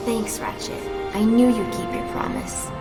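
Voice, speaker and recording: Actress as earnest young heroine ally, highish voice, lively melody, music behind. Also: female voice, measured pace, natural voice. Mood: grateful.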